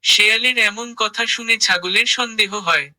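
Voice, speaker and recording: Very bright-voiced children's story narrator. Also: medium pitch, normal speed, rough recording.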